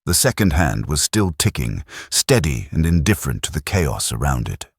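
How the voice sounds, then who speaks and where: Very deep, husky male narrator. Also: sing-song, normal speed, dry recording, studio quality. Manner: grave, dramatic storytelling. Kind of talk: scripted audiobook narration.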